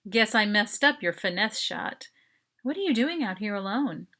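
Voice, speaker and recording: A woman's voice: mid-range voice, animated, normal speed, sharp tone. A woman voicing a friendly, confident teenage boy in a short story, playful and easygoing. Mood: casual interest. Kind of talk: dramatic short story reading.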